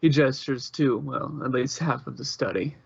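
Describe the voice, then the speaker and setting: A man's voice: medium pitch, normal speed, gravelly, husky, no echo, highs cut off, full character voice. A man reading scripted story narration, as the narrator of an amateur audio drama.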